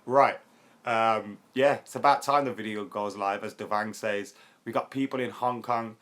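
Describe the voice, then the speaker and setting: A young man with a hoarse, worn voice, hosting a live football chat stream, chatty and excitable and quick to laugh. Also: fairly low pitch. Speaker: YouTube football channel host.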